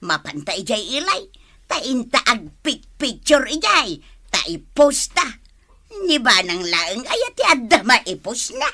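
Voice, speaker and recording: Woman acting eager village wife, comedy drama, insistent, fairly high bright voice, slow drawl. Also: wildly swooping pitch, heavily acted. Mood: playful, gossipy. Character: eager village wife planning outings for social media.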